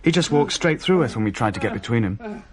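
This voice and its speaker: An actor as a wry family man narrating a ghost story in a radio play. His voice swings widely in pitch around a middle register, and he tells it dryly but vividly. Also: sing-song, fast, a crowd in the background, lightly acted. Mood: tense, vivid. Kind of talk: radio drama narration in dialogue with another narrator.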